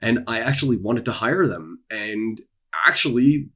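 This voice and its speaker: A young adult man with a husky voice, talking casually to his audience as an author and creator, with theatrical emphasis and dramatic pauses. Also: mid-range voice, lively intonation, a bit bright. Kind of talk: casual promotional vlog, dramatic delivery. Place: small dark room indoors.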